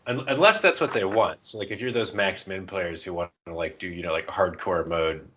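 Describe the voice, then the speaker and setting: A man co-hosting a podcast, chatting casually and telling stories by acting out quick bits of dialogue. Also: low voice, sharp tone, highs cut off, a little hiss or hum. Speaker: podcast co-host. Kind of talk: casual chat about tabletop roleplaying.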